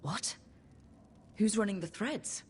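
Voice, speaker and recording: An actress playing a warm, encouraging young heroine, earnest and lightly teasing, in a cinematic game scene. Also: female voice, medium pitch, takes it slowly, breathy edge. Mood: concerned. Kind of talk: cinematic video game acting.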